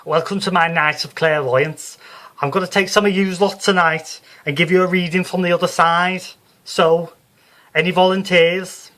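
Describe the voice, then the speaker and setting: Man voicing a loud, high-pitched brash Liverpudlian woman psychic. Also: fairly even intonation, moderate pace. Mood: bossy, showy. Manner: loud, bossy, theatrical patter. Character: brash, bossy female Liverpudlian stage psychic, theatrical.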